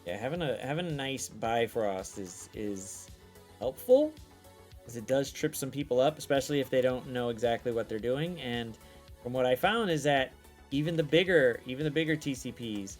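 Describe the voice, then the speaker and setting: A man hosting a casual stream chat, excitable and loose, swearing freely and repeating himself. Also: mid-range voice, normal speed, faint music behind. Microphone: desk microphone.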